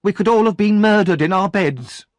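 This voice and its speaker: A man putting on a shrill, fretful shopkeeper's wife. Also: moderate pace, no room sound, close to an everyday voice. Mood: alarmed. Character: shrill fretful middle-aged woman shopkeeper.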